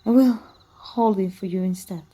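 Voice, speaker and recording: Mellow, husky woman as wounded immortal warrior. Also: medium pitch, moderate pace, lightly acted. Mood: gentle, concerned. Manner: archaic formal speech, teasing, hesitant murmurs. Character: wounded immortal warrior, proud, teasing, secretly smitten. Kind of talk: ASMR roleplay voice acting.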